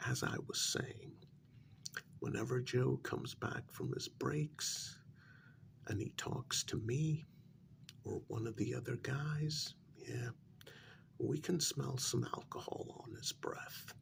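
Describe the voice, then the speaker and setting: A man playing an evasive, deferential worker in a soft ASMR role-play, speaking hesitantly and politely in a breathy half-whisper. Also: medium pitch, slow, a little hiss or hum. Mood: reluctant, deferential. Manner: hesitant, polite, gossipy while feigning reluctance. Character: evasive, deferential male worker smoothing things over with his boss.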